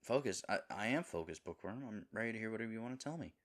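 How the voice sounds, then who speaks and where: A man's voice: lowish voice, expressive, quick pace, close to an everyday voice. A man playing a lovable, nervous himbo boyfriend in an intimate audio roleplay, earnest and rambling. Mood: worried, earnest. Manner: earnest, rambling, hesitant, dropping into breathy asides.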